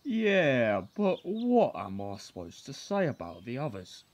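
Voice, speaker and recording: A man's voice: medium pitch, huge pitch swings, measured pace, no echo. A man playing an obedient, shaken helper in an audio drama, speaking hesitantly and deferentially. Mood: uneasy, subdued.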